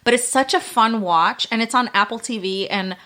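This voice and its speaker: A woman's voice: a little high, moderate pace, bright, forceful tone. A woman from Puerto Rico whose first language is Spanish, co-hosting a podcast in blunt, profane, rambling casual chat. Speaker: podcast co-host.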